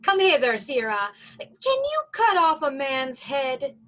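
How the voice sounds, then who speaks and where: Through slightly muffled lo-fi audio comes a brisk, commanding prison provost of the Shakespearean stage acted by a woman. The voice is high and unhurried, declaiming each order with stern weight and some pity. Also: very animated, bright, forceful tone, heavily acted. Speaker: actor in a staged reading.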